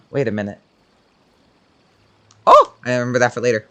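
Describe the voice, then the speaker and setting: A woman's voice: rumbling low, very animated, normal speed, bright, forceful tone. A woman giving casual, offhand commentary as she plays through a video game, in brief reactions.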